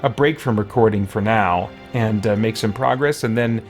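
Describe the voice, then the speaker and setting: A man's voice: lowish voice, normal speed. A man giving casual let's play commentary as a streamer, rambling as he thinks aloud. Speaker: game streamer. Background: game music.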